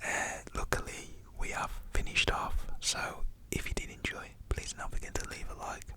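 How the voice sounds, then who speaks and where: A young man tells a personal story in a whisper, full of "you know" and "literally". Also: moderate pace.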